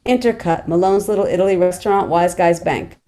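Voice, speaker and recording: A woman's voice: fairly low pitch, fairly quick, dark tone. A woman reading stage directions as narrator at a screenplay table read.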